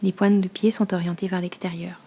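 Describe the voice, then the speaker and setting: A woman leads a guided meditation as a yoga nidra teacher, speaking slowly in a hushed, soothing manner with long pauses and a soft, breathy voice. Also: on the low side, little pitch movement.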